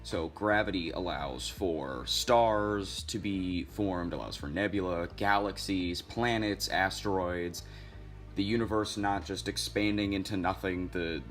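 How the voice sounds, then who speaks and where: A man giving philosophical commentary in a deliberate, explanatory way. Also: deep voice, a bit bright, soft background music.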